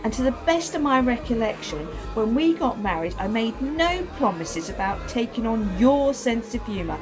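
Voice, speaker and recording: An actress playing a reflective, haunted wife in a radio play, with a measured way of speaking. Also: female voice, on the high side, big pitch swings, music in the background. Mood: exasperated. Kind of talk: radio play acting with letter-like narration.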